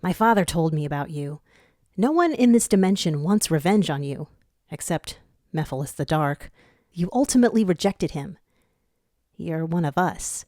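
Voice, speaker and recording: A woman's voice: sits low, expressive, moderate pace, mellow, no echo, clean studio sound. A woman voicing an earnest, helpful young male hedgehog hero in a fan fiction story, speaking in a reassuring manner.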